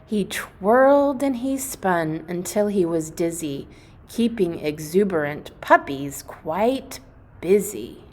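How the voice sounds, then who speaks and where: Slow, animated woman reading to children. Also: fairly low pitch. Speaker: read-aloud storyteller for children. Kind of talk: expressive children's storybook reading.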